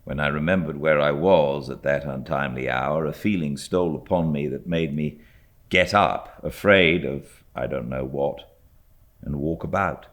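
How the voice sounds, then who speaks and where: A man's voice: extremely low pitch, moderate pace. A British man with a British accent reading classic literature aloud as an audiobook narrator. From Britain.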